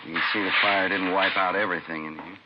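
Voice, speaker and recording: Male actor as veteran fire chief, radio crime drama: very deep, brisk, plain-spoken, on a dull, heavily noisy vintage recording. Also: steady tone, natural voice. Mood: weary, matter-of-fact.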